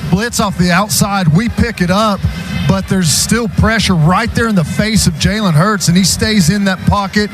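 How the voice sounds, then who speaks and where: High-voiced male football color commentator. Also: moderate pace, music in the background. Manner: explanatory, conversational. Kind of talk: live analysis between plays.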